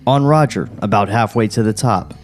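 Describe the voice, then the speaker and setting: A man's voice: fairly low pitch, moderate pace, soft background music. A man reading screenplay stage directions on a comedy podcast, breaking into casual banter. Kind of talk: comedic table read, narration with casual banter. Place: podcast studio.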